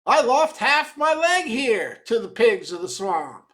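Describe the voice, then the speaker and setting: A grizzled old small-town man acted by a storyteller, a man. He is fearful and emphatic, stretching his words slowly like an ominous warning in a dramatic tale. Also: extremely high pitch, sing-song, a bit bright, exaggerated voice.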